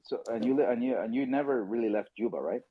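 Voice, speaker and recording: A man's voice: mid-range voice, quick pace, a crowd in the background. A man hosting a casual podcast chat on an audio call, talking loosely and telling stories with acted-out voices. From South Sudan. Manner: chatty, acts out voices when telling stories. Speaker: podcast host. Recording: dry tinny phone-line sound.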